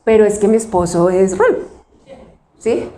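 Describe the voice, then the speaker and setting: A woman pastor from Colombia with a Cali accent, preaching a sermon. She puts questions to the congregation and answers them herself, and she slows down to stress single words. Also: medium pitch, expressive, warm tone, roomy sound. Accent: Cali Colombian Spanish.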